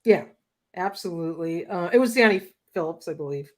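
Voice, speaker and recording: A woman co-hosting a casual toy-collecting livestream, chatty and quick to laugh, with plenty of fillers. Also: lowish voice, lively intonation, darkish tone. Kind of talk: casual chat.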